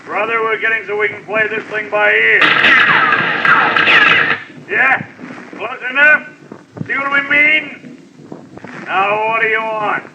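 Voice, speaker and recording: High, distant, suspicious gunman villain. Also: steady tone, slow pace, long pauses. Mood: threatening. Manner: slangy, menacing, barked from a distance. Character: tough, suspicious gun-toting villain, loud and menacing. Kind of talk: old-time radio crime acting. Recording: distant, muffled, noisy old radio recording.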